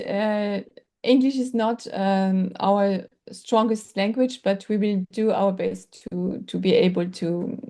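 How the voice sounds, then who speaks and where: A woman with a French accent lecturing in English over a video call, pausing often and searching for words with many fillers. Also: mid-range voice, mellow. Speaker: workshop lecturer. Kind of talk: online teaching session.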